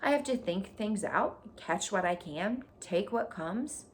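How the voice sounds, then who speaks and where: Woman acting a female storybook spider, thin pleasant voice, animated pitch, frank, bloodthirsty, noisy recording. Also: a little slow, lightly acted. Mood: defensive, proud. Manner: frank, breezy, explanatory. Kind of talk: children's storybook read aloud.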